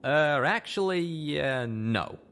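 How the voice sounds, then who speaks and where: A man's voice: on the high side, expressive, slow, a bit bright, clean tone, pitched above the performer's own voice, heavily acted. A plain-spoken cowboy pegasus played by a man with a drawl. He is folksy, blunt and loyal, and his lines swing animatedly in a storybook drama. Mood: sheepish.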